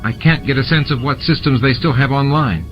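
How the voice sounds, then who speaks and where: A man voicing a calm, matter-of-fact female science officer in a science fiction audiobook. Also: mid-range voice, brisk, muffled recording, voice pushed up, natural voice. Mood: composed. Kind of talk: audiobook character dialogue. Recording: music bed behind the reading.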